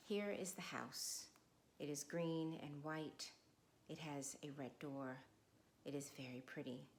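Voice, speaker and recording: A middle-aged woman speaking with measured, deliberate phrasing, as a guest reader at a literary read-along. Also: deep voice, fairly even intonation, slight background noise. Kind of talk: informal introduction, then literary read-aloud.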